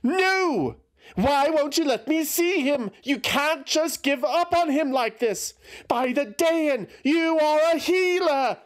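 A man's voice: high-pitched, very animated, slow pace, voice pushed up, heavily acted. A man voicing a desperate young woman. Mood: frantic. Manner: pleading, insistent, rising to shouts. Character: distraught young woman refusing to accept a death.

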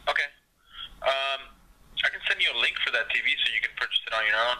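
A man's voice: mid-range voice, expressive, fast, sharp tone. A polite, hesitant man working as a customer service representative on a phone call. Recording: noisy telephone line.

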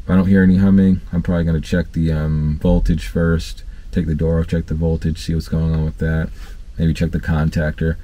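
A man in his thirties talks through work tips from the cab of his parked van. His voice sits very low and mellow, and he keeps it loose and casual, stopping for ums and restarting his sentences as he goes. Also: fairly quick. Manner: relaxed, conversational, many fillers and restarts. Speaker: HVAC technician and channel host. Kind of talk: casual training talk to camera.

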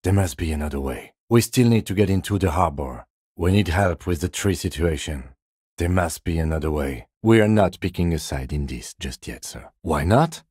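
Tired deadpan cop for a video game, super deep, husky, restrained and formal. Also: male voice, moderate pace, no room sound, studio quality. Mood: dry, composed. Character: weary, dry, by-the-book male police detective.

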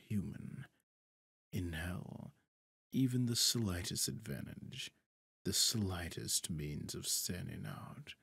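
Man voicing a low, husky, smug demon lord. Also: no room sound, exaggerated voice. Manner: pompous, slow, deliberate, breathy half-whispers. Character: possessive, smug male demon lord, suave deceiver. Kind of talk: scripted ASMR roleplay monologue.

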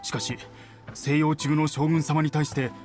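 A man's voice: a little high, level tone, a little slow, darkish tone, soft background music, close to an everyday voice. A man voicing a dutiful palace guard in a Japanese game, speaking in a polite, stiff, official manner. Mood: deferential. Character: dutiful, formal young male palace guard. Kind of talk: Japanese anime game voice acting.

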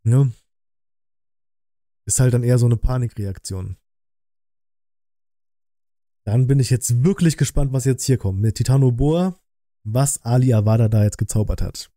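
A man in his thirties with a very dark, mellow voice of middling pitch. He comments casually as a reaction host, using colloquial, slangy wording. The sound is close and dry. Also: a little slow, long pauses, no room sound.

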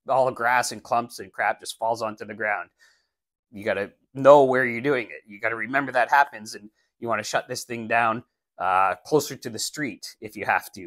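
A man's voice: mid-range voice, dry recording. A man in his forties who runs a landscaping business, giving a casual how-to talk to camera, blunt and practical, on a lapel mic in a small studio. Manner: blunt, practical, animated gestures while talking. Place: indoor studio, plain backdrop.

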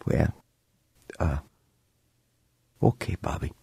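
A man's voice: slow, warm tone, no room sound, close to an everyday voice. A man playing a cocky, skeptical young boxer in a radio drama. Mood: guarded. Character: cocky, skeptical young male boxer turned aviation worker. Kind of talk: radio drama acting with first-person narration.

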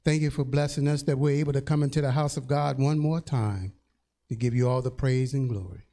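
Older man praying with a mellow voice. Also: medium pitch, a little fast. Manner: earnest, steady praying. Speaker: church elder leading prayer. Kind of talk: closing prayer. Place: church sanctuary, indoors. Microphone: handheld mic.

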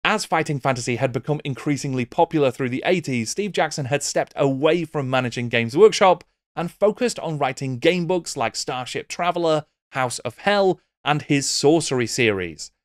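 A man's voice: a little high, lively intonation, normal speed, few pauses, no echo, clean studio sound. A middle-aged British man presenting a scripted video essay with wry, theatrical emphasis. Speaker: YouTube host. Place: small book-lined home room. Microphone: close desk microphone with pop filter.

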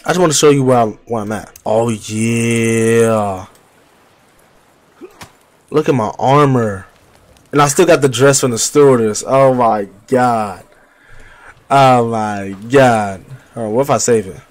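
A young man talking into a headset mic at his home gaming setup, rambling through a survival game in loose slang. He is getting over a cold, so his voice sits a little deeper and rougher than usual. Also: a little slow, pauses often. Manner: loose slang-heavy chatter, trash-talking enemies. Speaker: livestreamer. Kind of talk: casual gameplay commentary.

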